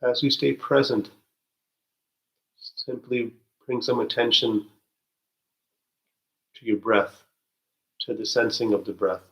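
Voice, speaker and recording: A man leading a guided spiritual meditation, speaking gently with soft halting restarts and long pauses. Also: mid-range voice, poor audio.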